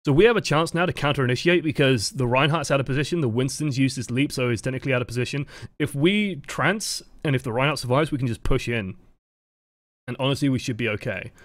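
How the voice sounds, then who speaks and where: A young man casually commenting on game footage as a coach, relaxed and chatty, with the odd swear word. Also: mid-range voice, fast talker, dry recording.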